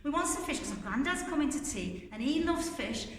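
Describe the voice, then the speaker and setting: Woman doing eager little kids, high pleading voices, storybook, echoey hall, distant mic. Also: very animated, fairly quick. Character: excited group of young children. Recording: echoey hall, far from mic, faint noise.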